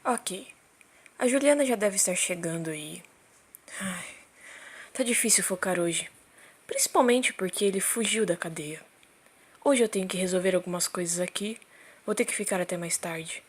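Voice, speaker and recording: An anxious female therapist, played by an actress in an audio drama monologue. Her voice is a little husky and mid-pitched, and she speaks hesitantly, as if confiding a worry she is keeping secret. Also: natural voice. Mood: uneasy.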